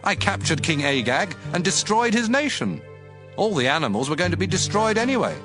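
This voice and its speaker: Casting: an agitated, excuse-making king, very lively intonation, audio scripture drama over clear music. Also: sits high, fairly quick. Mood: defensive. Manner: earnest, agitated, self-justifying.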